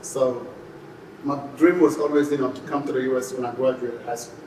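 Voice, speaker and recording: A man in his forties with a Senegalese accent, giving a casual interview in a halting way, often saying "you know". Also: medium pitch, fairly even intonation, darkish tone. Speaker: podcast guest, photographer and graphic designer. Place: indoor podcast studio. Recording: echoey room, distant mic, clear background noise.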